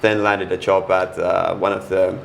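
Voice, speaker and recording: Middle-aged Finnish man, Finnish accent, guest on an interview podcast. Very low, gravelly voice, frank and halting, lots of restarts, faint noise under him. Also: flat delivery. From Finland. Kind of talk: casual interview.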